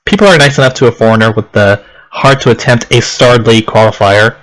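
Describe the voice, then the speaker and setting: A man's voice: mid-range voice, a little fast, fairly bright tone, low-quality recording. A man from Okinawa doing casual game commentary and reading on-screen text, in a rambling, self-deprecating, giggly manner. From Okinawa, Japan. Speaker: let's play host. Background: game music.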